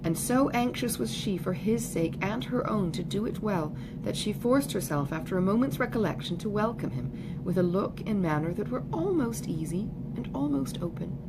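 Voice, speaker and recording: Expressive woman narrator, noisy recording. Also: medium pitch, moderate pace. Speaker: audiobook narrator. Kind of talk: scripted literary narration.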